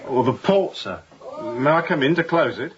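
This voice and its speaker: Man as a lively-pitched, deferential ship's steward. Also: moderate pace, dull audio, a crowd in the background, close to an everyday voice. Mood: polite, servile. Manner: deferential, hesitant. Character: deferential, inquisitive ship's steward serving the captain. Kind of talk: radio drama acting.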